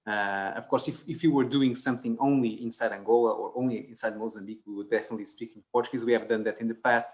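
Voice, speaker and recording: A man moderates an online conference panel, his pitch sitting in an ordinary middle range. He talks in a halting way, full of fillers and restarts as he works toward each point. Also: steady tone.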